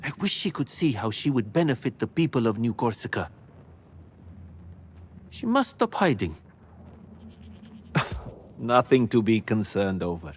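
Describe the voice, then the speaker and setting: A man's voice: mid-range voice, big pitch swings, a little slow, long pauses, slight background noise. A man voicing a formal, old-fashioned male keeper of ancient secrets in a video game cutscene. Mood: grave, earnest. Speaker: game character.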